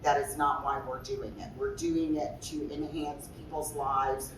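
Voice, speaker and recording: A woman's voice: low-pitched, flat delivery, measured pace, roomy sound, distant mic, noisy background. A woman interior designer on a trade-show panel, speaking forthrightly in a big voice with many restarts.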